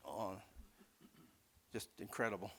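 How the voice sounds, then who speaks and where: A man's voice: fairly low pitch, unhurried, gravelly, a bit bright, a little hiss or hum. A man preaching in an informal teaching style, rambling conversationally off the cuff and often checking in with his listeners. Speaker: preacher teaching a Bible class.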